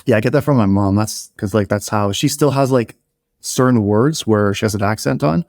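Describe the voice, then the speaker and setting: Man raised in Canada, Polish first language; loose, restart-heavy podcast chatter, low voice, dry sound. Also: moderate pace, darkish tone, dry recording. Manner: rambling, swears casually, frequent restarts. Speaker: podcast co-host. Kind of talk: casual chat.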